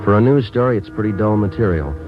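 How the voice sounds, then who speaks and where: An actor playing a clipped, unflappable police sergeant who also narrates, in a flat, matter-of-fact vintage radio drama delivery. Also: male voice, lowish voice, fast talker, natural voice. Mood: narrating. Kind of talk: 1940s-50s radio drama acting. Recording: lo-fi vintage radio broadcast with music.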